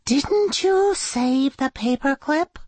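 A woman's voice: mid-range voice, lively intonation, darkish tone, slightly rough, dry recording, pitched above the performer's own voice, exaggerated voice. A woman voicing an exasperated, scolding woman schoolteacher in a children's story, with dramatic, emphatic delivery. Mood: stern. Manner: dramatic, emphatic, drawn-out stressed words.

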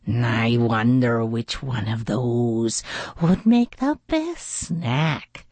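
A woman's voice: a bass voice, animated, drawn-out words, darkish tone, no room sound, voice pushed down, full character voice. A woman voicing a sneaky, greedy, insolent male weasel in a children's story. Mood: scheming.

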